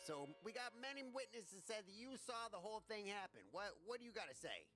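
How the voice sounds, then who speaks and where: A man's voice: high voice, very animated, a little fast, pushed and bright, exaggerated voice. A man voicing a fussy, exasperated, impatient male officer and schemer in an amateur cartoon. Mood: pressing. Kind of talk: amateur animated cartoon voice acting. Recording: music behind the voice.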